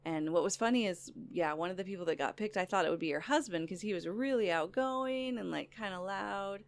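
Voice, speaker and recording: A woman's voice: mid-range voice, brisk. A woman co-hosting a podcast, telling stories in a chatty way with lots of fillers. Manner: lively storyteller, many fillers like "like", laughs often. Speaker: podcast co-host. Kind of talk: casual chat.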